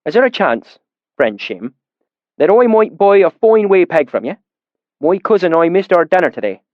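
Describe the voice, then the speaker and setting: A man playing a young man laying on a folksy fake rustic dialect, friendly and ingratiating, with a lively up-and-down delivery. The sound is dry and somewhat muffled. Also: high voice, normal speed, no room sound, pitched above the performer's own voice.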